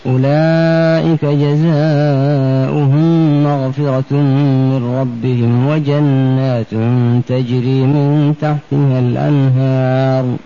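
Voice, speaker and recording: Man, slow steady scripture chant, very dark and level voice, lo-fi radio sound. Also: medium pitch. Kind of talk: Quran recitation.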